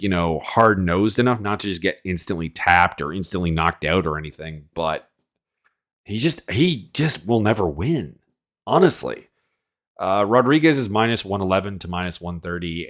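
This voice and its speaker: A man with a cold, sniffling as he rambles through casual, opinionated fight predictions full of fillers. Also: sits low. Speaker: MMA analyst host.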